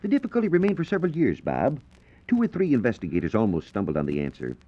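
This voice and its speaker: A man's voice: mid-range voice, wildly swooping pitch, quick pace. A man with an American accent giving a formal, explanatory scripted science lecture as a 1940s radio reporter. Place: radio studio. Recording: dull, lo-fi 1940s radio recording.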